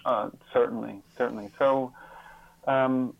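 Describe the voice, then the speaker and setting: A man being interviewed as a guest, answering reflectively in a halting, thoughtful way with pauses and repeated words. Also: mid-range voice, fairly even intonation, vocal fry, dull audio. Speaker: interview guest, author and hospice volunteer.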